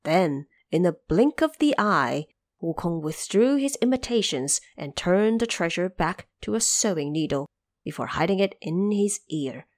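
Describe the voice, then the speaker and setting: Animated woman narrating an audio drama. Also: on the low side. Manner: theatrical, deliberate emphasis.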